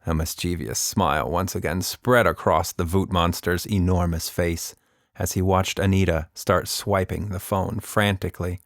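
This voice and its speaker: A man narrating a scripted children's story in an expressive, dramatic way. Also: sits low, moderate pace, no room sound.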